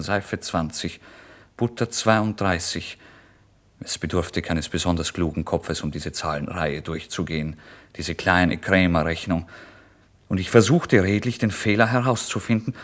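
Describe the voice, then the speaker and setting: Desperate starving young writer, low-voiced man. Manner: theatrical, volatile, swinging from hushed to loud outbursts. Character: starving, desperate young male writer, feverish and self-deceiving. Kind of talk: dramatic literary monologue, radio play narration.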